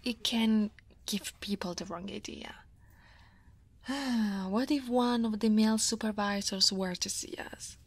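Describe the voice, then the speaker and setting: A female dragon office senior, played by a woman from Italy, speaks in a soft, husky voice at an unhurried pace. She is guarded yet teasing and easily flustered, in the intimate style of an ASMR roleplay. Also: mid-range voice, no echo, natural voice. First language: Italian. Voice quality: soft, breathy. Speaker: voice actor.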